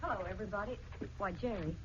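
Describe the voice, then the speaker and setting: A woman's voice: mid-range voice, quick pace, a little hiss or hum, lightly acted. An actress playing an earnest, defiant and dignified married woman in a 1940s radio drama. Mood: uneasy. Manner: earnest, emotional. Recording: dull 1940s radio recording.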